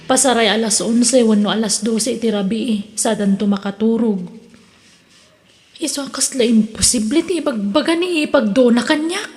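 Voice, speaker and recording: A woman playing a gentle, conciliatory family member in an audio drama. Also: on the high side, normal speed, lightly acted. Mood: concerned. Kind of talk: radio drama acting in Ilocano. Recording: long reverb on the voice.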